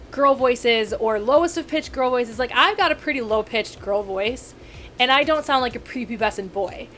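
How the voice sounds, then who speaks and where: A woman in her thirties, a video critic ranting casually to camera, rambling and animated with frequent self-corrections and asides. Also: sits high. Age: 30s.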